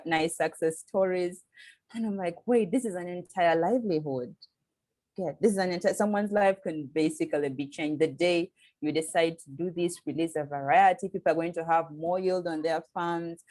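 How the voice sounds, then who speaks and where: Young Ugandan woman, low pitch, earnest interviewee, tight dry recording. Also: a little mellow, no room sound. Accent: Ugandan English. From eastern Uganda. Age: young adult. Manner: earnest, frequent restarts and tag "okay?". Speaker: PhD student. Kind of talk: interview answers.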